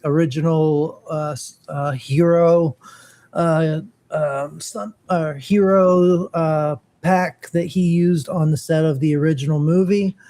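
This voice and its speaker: Here is a man co-hosting a relaxed morning podcast, his voice sitting a bit high for a man. He speaks unhurriedly and often breaks off and starts his sentences over. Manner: halting, many pauses and restarts. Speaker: co-host. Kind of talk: casual podcast chat.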